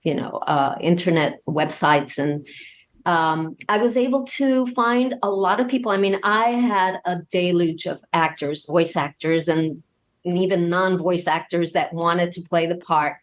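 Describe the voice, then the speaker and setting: A woman's voice: fairly low pitch, measured pace. A woman guest chatting casually in an interview about her own creative work, acting out bits of dialogue as she tells it. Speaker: guest, audio drama podcast creator.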